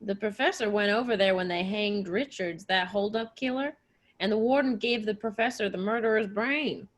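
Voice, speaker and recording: A woman's voice: medium pitch, normal speed, natural voice. A woman voicing a folksy, gossipy small-town male sheriff with hesitant, rustic phrasing in a radio play. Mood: folksy, cautioning.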